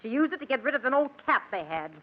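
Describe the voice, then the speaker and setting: An actress playing a bitter, nagging, suspicious wife with a whining voice in a 1940s radio drama. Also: female voice, high-pitched, very animated, racing. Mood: testy. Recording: muffled old radio broadcast.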